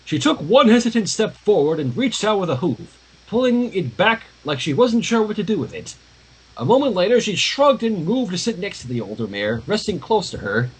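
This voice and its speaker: A man reading a story aloud on a livestream with expressive, dramatic narration and casual asides, in a husky voice. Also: high voice, moderate pace, poor audio. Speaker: fan fiction reader.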